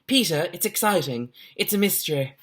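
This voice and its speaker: Man putting on an excitable, high-pitched young schoolgirl. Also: normal speed. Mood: excited. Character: excitable, insistent young schoolgirl, slightly posh.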